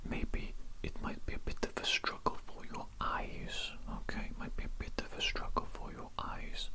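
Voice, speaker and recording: A man voicing a calmly menacing, callous evil doctor in an ASMR roleplay, whispering slowly and deliberately with soft tag questions. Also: natural voice. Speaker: ASMR performer.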